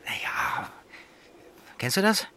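A man's voice: takes it slowly, sharp tone, whispered. An actor playing a daring, laconic teenage boy in an audio drama, terse and nonchalant. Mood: cool, nonchalant.

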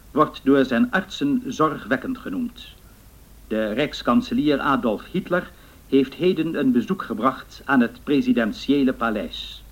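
A 1930s radio newsreader in a period radio play. He reads bulletins formally and with measured delivery, in a mid-pitched, husky voice. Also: highs cut off. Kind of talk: scripted 1930s radio news reading.

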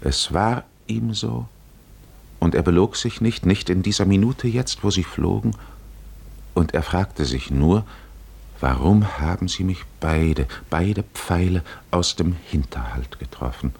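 Deep, very slow solemn male narrator. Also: gravelly, darkish tone, breathy edge. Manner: solemn, measured, with deliberate pauses. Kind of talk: literary radio drama narration, 1950s.

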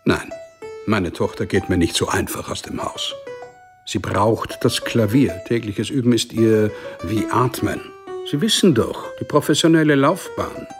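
A man's voice: lowish voice, a little slow, over music, lightly acted. An actor playing a proud, money-minded, controlling father and court official in a radio drama, talkative and insistent. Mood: firm. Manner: talkative, insistent, tags questions with "nicht?".

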